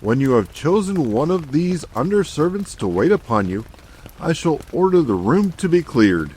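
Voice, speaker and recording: A woman's voice: low voice, very animated, moderate pace, darkish tone, a little hiss or hum, pitched below the performer's own voice, rough recording. A woman voicing a courteous male captain of the royal guard in a storybook reading, formal and courtly. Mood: gallant, obliging.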